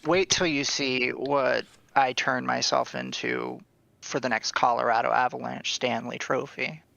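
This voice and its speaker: A man's voice: medium pitch, fairly even intonation, a little slow, vocal fry, bright, forceful tone, dry recording, muffled recording. A man joking casually as a panelist on a comedy show.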